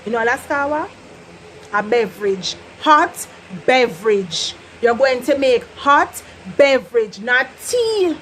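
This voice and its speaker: Lively high-voiced Jamaican woman. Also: slow, slight background noise, low-quality recording. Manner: animated, laughs often, slips into patois. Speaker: vlogger teaching patois. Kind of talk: casual chatty lesson.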